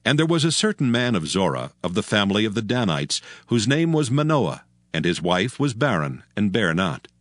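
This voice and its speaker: Fairly low, animated male scripture narrator. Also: brisk, few pauses, dry recording, clean studio sound. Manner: solemn, formal.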